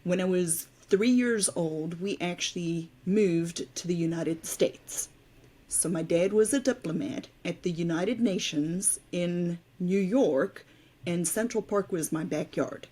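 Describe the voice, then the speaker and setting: A woman's voice: lowish voice, slow. A woman in her fifties from South Africa, with Afrikaans as her first language, telling her story openly as a podcast guest. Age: 50s. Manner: open, storytelling, relaxed. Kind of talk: casual interview talk.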